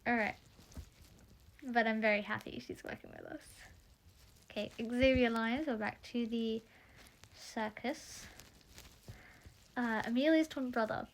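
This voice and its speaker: A young woman introducing the cast of her audio drama in casual chat, rambling and a little croaky from being tired. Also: on the high side, unhurried, long pauses, poor audio. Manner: chatty, rambling, self-interrupting.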